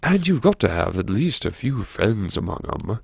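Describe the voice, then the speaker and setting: Man acting a droll scholarly schemer, audiobook inner monologue, self-mocking, animated intonation, close dry sound. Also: on the low side, moderate pace, creaky, slightly rough, no echo, heavily acted. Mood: weary, sardonic. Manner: droll, plummy old-fashioned phrasing, musing. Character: wry, erudite, self-mocking new university head talking to himself.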